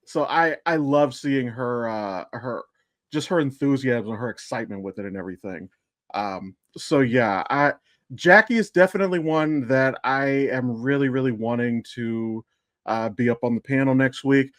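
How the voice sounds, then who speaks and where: A man with a medium-pitched voice hosts a casual podcast. He speaks informally, like chatting with friends, and the sound is dry with no room around it. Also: moderate pace, no echo. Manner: informal, freely swearing. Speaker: podcast host. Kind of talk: casual chat.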